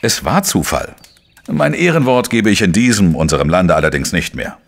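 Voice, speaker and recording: A man playing a dogged, wry investigator in a crime radio play, speaking and narrating in a deliberate, reflective way. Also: deep voice, big pitch swings, creaky, heavily acted. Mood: insistent, wry. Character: dogged, wry male journalist-sleuth narrating his own case. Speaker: lead actor and first-person narrator. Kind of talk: German crime audio drama, narration and dialogue.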